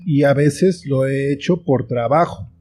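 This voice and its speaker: A man's voice: medium pitch, a little slow, darkish tone, soft background music. A man with a Mexican accent talking casually and reflectively as an interview guest, explaining things like a storyteller. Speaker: interview guest, writer and filmmaker.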